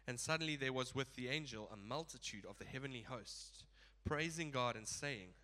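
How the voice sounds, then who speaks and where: A man's voice: medium pitch, normal speed, pushed and bright. Nasal-sounding man preaching with a cold. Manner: casual, chuckling asides. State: stuffy blocked nose. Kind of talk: sermon.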